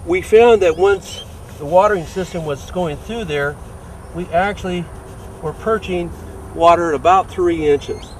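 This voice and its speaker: A man in his fifties, a sports turf manager, giving an informal, halting technical explanation to camera. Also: high voice. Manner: halting, hesitant delivery. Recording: distant, roomy sound with clear background noise.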